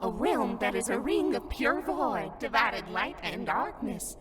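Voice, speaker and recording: A woman's voice: fairly high pitch, sing-song, a little slow, a crowd in the background. A woman voicing a playful, doting grandmotherly ghost guide in a theatrical, storybook manner for a cartoon fandub. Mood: grand, mysterious. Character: playful grandmotherly ghost sprite in clown garb, mysterious guide. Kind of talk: fandub character acting.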